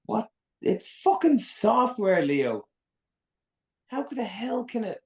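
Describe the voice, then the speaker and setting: A woman performs a panicked character trapped in danger in a screenplay drama. The pitch swings widely, yet the pace is slow, broken by stammers. The tone is incredulous and exasperated. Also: big pitch swings, exaggerated voice. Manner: stammering, exasperated, foul-mouthed. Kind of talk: dramatic live screenplay table read.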